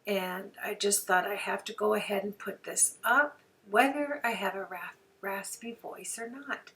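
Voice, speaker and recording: A hoarse woman talks through a craft tutorial in a chatty, folksy way. Her voice has a gravelly fry under a bright, sharp edge, and she sits a little back from the mic. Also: mid-range voice, unhurried, far from the mic, low-quality recording. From Canada. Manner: chatty, folksy, homey phrases. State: hoarse from flu. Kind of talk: card-making tutorial narration.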